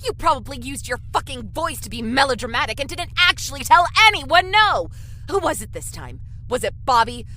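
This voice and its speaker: An actress playing a hot-tempered, cynical, foul-mouthed game show executive in an audio drama, brusque and sarcastic. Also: female voice, high voice, sing-song, brisk, pushed and bright, a little hiss or hum, full character voice. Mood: furious.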